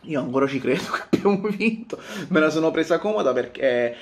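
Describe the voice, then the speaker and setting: Animated man vlogging casually about football. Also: fairly high pitch, fairly quick. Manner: animated, theatrical, repeats phrases for emphasis. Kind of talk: casual fan vlog to camera. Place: small home room, indoors.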